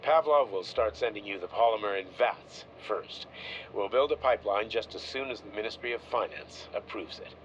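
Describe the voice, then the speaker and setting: An actor playing a discreet Soviet official in a video game, asking favours in a casual, understated way. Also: male voice, lowish voice, normal speed, slight background noise, close to an everyday voice. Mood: confiding. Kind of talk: video game recorded-message acting.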